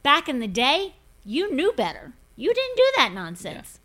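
A woman in her fifties speaks with a forthright, preachy conviction as she hosts a relaxed podcast chat. Her delivery is emphatic, with repeated words and firm pronouncements. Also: sits high, unhurried. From grew up in Pentecostal churches. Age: 50s. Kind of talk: casual podcast chat on faith.

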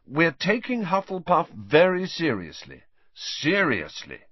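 A man's voice: fairly high pitch, huge pitch swings, a little slow, husky, dry recording, highs cut off, pitched above the performer's own voice, heavily acted. A man reading a storybook, voicing a cocky, impatient teenage boy in a breezy, dismissive manner. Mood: placating.

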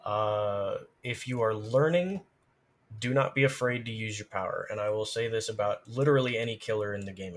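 A man's voice: lowish voice, fairly bright tone. A man, a streamer and podcast guest, casually explaining game tactics in an emphatic, encouraging way.

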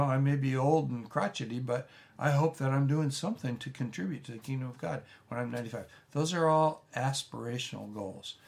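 A man, a pastor giving a relaxed, rambling teaching talk with frequent restarts and folksy asides. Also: mid-range voice, creaky. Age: 70s. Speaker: pastor teaching a church leadership course.

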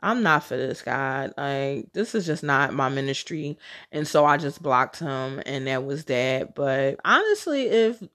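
A woman's voice: extremely low pitch, big pitch swings, unhurried, a bit bright. A woman podcast host telling casual personal stories on her own, in a chatty, candid, slangy way with some casual swearing. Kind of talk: casual solo storytelling.

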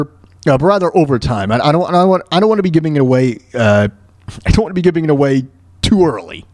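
A man with a high voice and very lively intonation hosts a solo podcast. He is blunt and emphatic, circling back over his phrases to drive them home. The sound is close and dry. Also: dry recording. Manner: blunt, profane. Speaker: podcast host.

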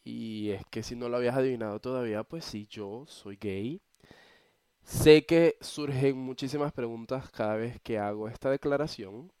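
A man's voice: mid-range voice, normal speed, clear, resonant tone, no echo, clean studio sound. A young man from Venezuela with a Venezuelan accent, hosting his own podcast from his bedroom in a chatty, playful way. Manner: chatty, expressive, playful asides to listeners. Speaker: podcast host. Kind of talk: casual solo podcast monologue.

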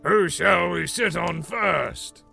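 A man voicing a quarrelsome, rough troll with a harsh, gravelly rustic voice for an audiobook. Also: sits high, slow pace, pushed and bright, soft background music, voice pushed up, heavily acted. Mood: argumentative. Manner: bickering, ungrammatical rustic speech. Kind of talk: audiobook character voice.

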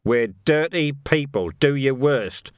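A gruff veteran police sergeant , acted out by a male narrator, blunt and streetwise, taunting his recruits in an audiobook story. His delivery swings freely up and down, in a close, dry recording. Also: mid-range voice, big pitch swings, moderate pace, fairly bright tone, no echo, voice pushed up.

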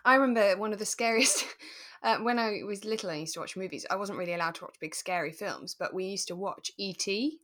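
A woman co-hosting a podcast, explaining things step by step in a relaxed, conversational way. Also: mid-range voice, a little fast. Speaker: podcast co-host.

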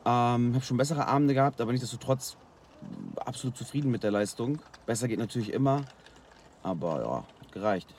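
A man's voice: mid-range voice, a little fast. Tired german rapper guy chatting loose and slangy, bit of background hiss. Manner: relaxed, slangy storytelling with fillers. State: worn out after a long night. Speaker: guest freestyle rapper. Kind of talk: casual interview chat.

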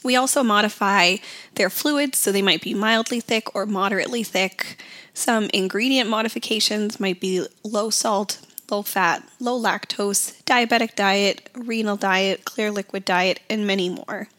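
A woman hosting an educational podcast as a dietitian, her voice raspy from a summer cold, speaking in an earnest, advocating way. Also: mid-range voice, steady tone, unhurried, fairly bright tone.

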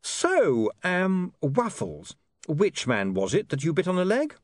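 Earnest young reporter, male, raised bright voice, brisk and flustered, dry close sound, a man puts him on. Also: high-pitched, wildly swooping pitch, no echo, voice pushed up, exaggerated voice.

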